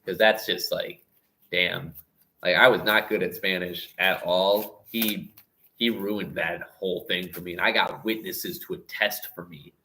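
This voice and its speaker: A young man hosting a casual livestream, rambling and stop-and-start, a little hoarse. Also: low voice, steady tone, measured pace, sharp tone, a little hiss or hum, poor audio. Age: young adult. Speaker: livestream host.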